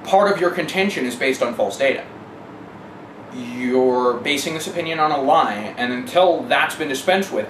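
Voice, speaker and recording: Emphatic speaker in a noisy, echoey room. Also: mid-range voice. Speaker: interviewee giving testimony. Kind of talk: personal testimony in an interview. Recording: echoey room, clear background noise.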